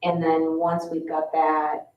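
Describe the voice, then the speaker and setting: A woman's voice: deep voice, monotone, slow pace. An American woman talking informally as a commission member in a public meeting. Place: indoor public meeting room. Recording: echoey, distant, muffled, faint room noise.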